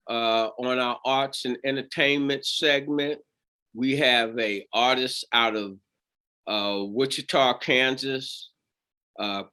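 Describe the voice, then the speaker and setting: A man's voice: medium pitch, pushed and bright. A man hosting an arts segment, asking long interview questions with many fillers and repeated words. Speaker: interviewer, show host.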